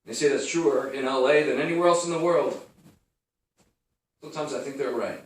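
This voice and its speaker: A man's voice: mid-range voice, moderate pace, lightly acted. Man acting a wry noir private eye, echoey. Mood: brooding, wry. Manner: wry, hard-boiled, musing. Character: world-weary wisecracking 1930s male private detective, noir narrator. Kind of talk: 1930s noir radio play acting and narration. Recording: roomy, distant, music underneath.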